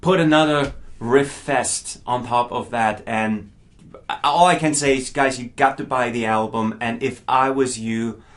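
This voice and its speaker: A man's voice: medium pitch, a little slow. A middle-aged man whose first language is not English, giving a casual, enthusiastic spoken album review and often stumbling over repeated words.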